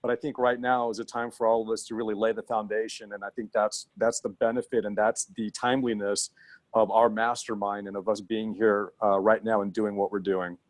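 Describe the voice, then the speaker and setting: Man, low voice, confident, earnest, dry close sound, video call. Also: level tone, a little fast, dry recording. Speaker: webinar participant. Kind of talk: motivational business talk.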